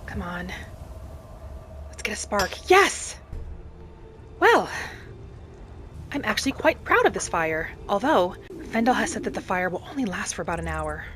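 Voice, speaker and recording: A woman playing a gentle, earnest female wood-elf adventurer, musing aloud as she narrates a roleplay game in character. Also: mid-range voice, very animated, measured pace, long pauses, noisy background. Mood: eager, pleased.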